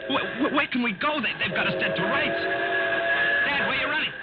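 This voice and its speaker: A man's voice: very high voice, animated, fairly quick, loud music behind the voice. An actor playing an anxious, urgent young American man pleading with his crooked father, in 1940s radio acting style. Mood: rising panic. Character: panicky grown son of a crooked factory owner. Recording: muffled vintage 1940s radio recording.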